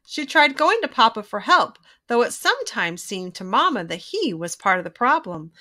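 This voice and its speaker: A woman reading a children's storybook aloud in an expressive, emphatic way, drawing out key words. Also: a little high, normal speed. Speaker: children's book reader.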